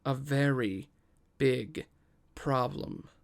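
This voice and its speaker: A man's voice: medium pitch, drawn-out words, gravelly. A man acting a bullying, imperious governor in an audio drama, with a domineering, threatening way of talking. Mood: menacing. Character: bullying corrupt male governor, menacing and imperious.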